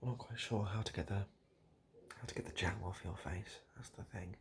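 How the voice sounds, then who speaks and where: A British man doing improvised, absurd comedy straight to the listener in a hesitant, repetitive, deadpan ramble. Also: on the low side, moderate pace, a little hiss or hum. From United Kingdom. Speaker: comedic video host. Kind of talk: improvised absurd comedy roleplay addressed to the viewer.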